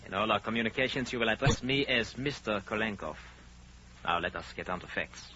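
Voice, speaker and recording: An actor playing a high-ranking spymaster in a 1930s radio drama, formal, deliberate and commanding, on a dull, noisy old recording. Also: male voice, expressive, bright, forceful tone. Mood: commanding, businesslike. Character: authoritative, secretive male spymaster of high rank.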